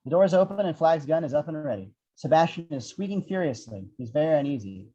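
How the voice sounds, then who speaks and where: A man's voice: on the high side, expressive, a little fast, mellow, dull audio. A man reading out the action descriptions of a screenplay as narrator.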